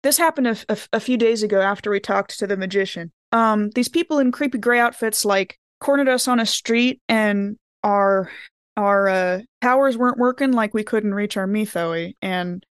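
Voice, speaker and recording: A woman playing an anxious, blunt investigator in a tabletop game, talking in halting, informal slang. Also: mid-range voice, clear, resonant tone, dry recording, clean studio sound, lightly acted. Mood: uneasy. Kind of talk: improvised in-character tabletop dialogue.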